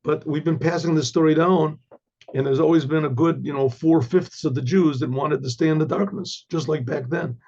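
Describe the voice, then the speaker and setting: An older hoarse man, a rabbi, giving a Torah class with halting restarts and frequent throat clearing. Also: fairly high pitch, fairly even intonation, a little mellow, highs cut off.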